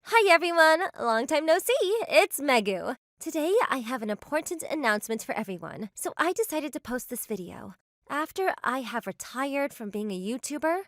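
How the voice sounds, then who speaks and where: Actress playing a devoted young woman, high lilting voice, sweet, earnest, manga romance. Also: fairly bright tone, dry recording, studio quality. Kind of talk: manga dub dialogue.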